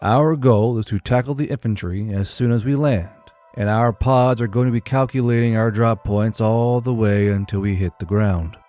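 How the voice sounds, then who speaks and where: A man playing a calm, seasoned space-infantry platoon sergeant giving a steady, matter-of-fact briefing. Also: fairly low pitch, moderate pace, few pauses, faint music behind, lightly acted. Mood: calm, businesslike.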